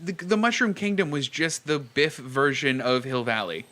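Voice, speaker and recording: Bright-voiced, higher-pitched man chatting casually. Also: normal speed, a little hiss or hum. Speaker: podcast co-host. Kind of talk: casual chat about films.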